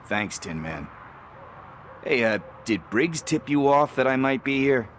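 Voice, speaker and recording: An actor playing a streetwise police informant in a video game, glib and slippery but likeable, with casual, hesitant phrasing. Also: male voice, medium pitch, sing-song, unhurried, fairly bright tone, a little hiss or hum. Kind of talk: video game cutscene acting.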